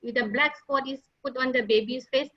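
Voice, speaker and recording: A woman giving a slide presentation as a nurse leader, halting often with fillers and restarts. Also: high-pitched, fairly even intonation. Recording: dull lo-fi online audio.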